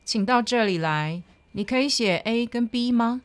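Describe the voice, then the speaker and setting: A woman's voice: fairly high pitch, moderate pace. A woman with a Taiwanese accent narrating and reading scripted lines for a children's language lesson. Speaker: presenter and Chinese translation reader.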